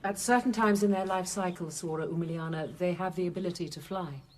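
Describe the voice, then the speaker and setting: An actress playing a wry, sceptical nun who narrates a radio drama in a measured, intimate first-person manner. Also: female voice, fairly low pitch, close to an everyday voice. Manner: measured, intimate, quietly dry.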